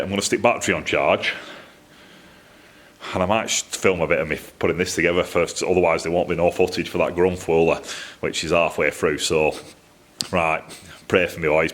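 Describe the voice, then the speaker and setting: A man's voice: low voice, fairly bright tone, slight background noise. A man with a Northern English accent rambles casually to camera in a colloquial, self-deprecating way, recorded in a workshop. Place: metalworking workshop, indoors.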